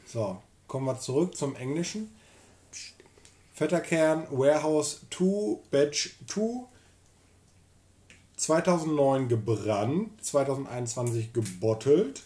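A man's voice: medium pitch, expressive, slow pace, dark tone. A man in his thirties co-hosting a casual whisky tasting at home, reading out label details in a chatty way. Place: home room with whisky shelves, seated in armchair.

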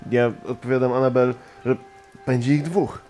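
A man's voice: medium pitch, steady tone, moderate pace, darkish tone, faint music behind. A man playing in a tabletop roleplaying game, chatting casually and speaking in character. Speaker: player.

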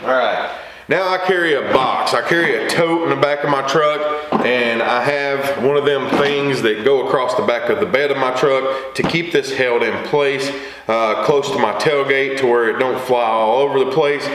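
A man's voice: mid-range voice, moderate pace. A middle-aged man giving a casual, plain-spoken, folksy walkthrough to camera as a video host. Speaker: lawn care video host. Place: small indoor workshop or garage with wooden shelving. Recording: echoey room, faint background hiss.

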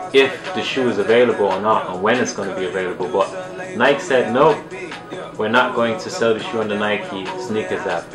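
Casual vlogger, man in his 30s, slightly low voice, roomy sound with music underneath. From Germany. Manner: laid-back, chatty, uses slang. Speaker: sneaker vlogger. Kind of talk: casual talk to camera. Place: plain indoor room at a desk. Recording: roomy echo with music behind.

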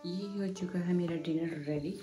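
Young female vlogger, casual and giggly, slightly low voice, background music. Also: fairly even intonation, moderate pace. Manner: chatty, giggly, talks to camera while showing food. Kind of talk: casual daily vlog chat. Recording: music playing behind.

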